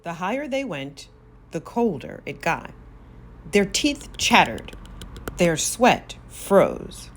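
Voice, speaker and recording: A woman narrating a children's story aloud, expressive, with drawn-out emphasis on key words. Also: fairly low pitch, slight background noise. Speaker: storybook reader.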